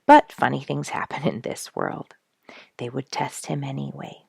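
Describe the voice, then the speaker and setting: A woman reading a children's animal story aloud in an expressive, dramatic storyteller's manner. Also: a bass voice, normal speed, creaky, slightly rough, no room sound, in a whisper, studio quality. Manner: dramatic, rhetorical questioning delivery.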